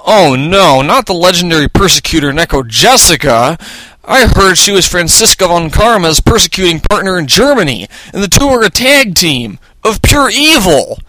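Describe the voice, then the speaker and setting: A man voicing an earnest, excitable male defense lawyer in a comic courtroom story, emphatic and declamatory. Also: high-pitched, normal speed, no room sound, voice pushed up, heavily acted, rough recording. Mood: alarmed.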